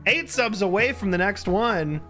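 Hoarse guy streamer in his early 30s, kinda high voice, chatting casually, faint music in back. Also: expressive, measured pace, a bit bright. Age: early thirties. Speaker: live streamer. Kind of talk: casual livestream chat. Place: indoor home streaming room. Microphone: close boom-arm microphone.

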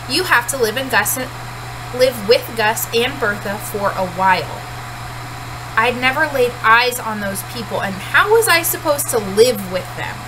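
A woman's voice: fairly high pitch, background noise. A woman in her thirties, a teacher reading a storybook aloud in first-person narration at home. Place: small cluttered room at home, indoors.

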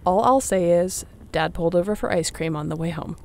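A woman playing a young apocalypse survivor, a tender, teasing companion in an intimate audio roleplay, with a creaky voice. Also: lowish voice, a little mellow, a little hiss or hum, natural voice. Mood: amused storytelling. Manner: unhurried, intimate, playful.

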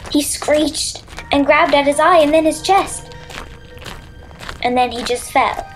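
A woman playing an earnest, warm-hearted young Irish girl in a children's audio drama. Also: high-pitched, slow, soft background music, rough recording. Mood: hurt.

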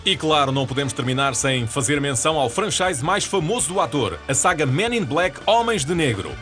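A man with a European Portuguese accent reading scripted narration for a TV channel promo in a smooth, even announcer delivery. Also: a little high, a little fast. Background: music bed.

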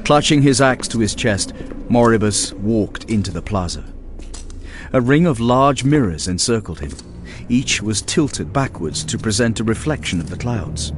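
A man's voice: on the low side, lively intonation, moderate pace, background noise. A man reading dramatic fantasy narration in a grave, portentous manner as an audiobook narrator. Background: music.

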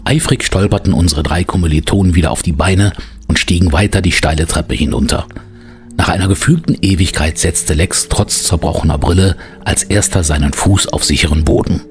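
A man narrating an audio adventure drama as a solemn, measured storyteller with florid delivery. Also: deep voice.

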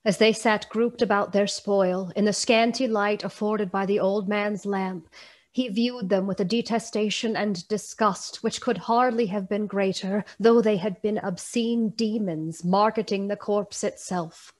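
A woman narrating a radio play in a solemn, literary, dramatic storytelling style with deliberate phrasing. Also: medium pitch, steady tone.

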